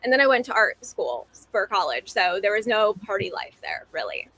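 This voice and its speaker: A woman's voice: medium pitch, big pitch swings, moderate pace, pushed and bright, husky, no echo, rough recording. Young woman, from New Jersey, chatty, laughs a lot, dry close sound. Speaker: dating show contestant. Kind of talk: casual speed-date chat.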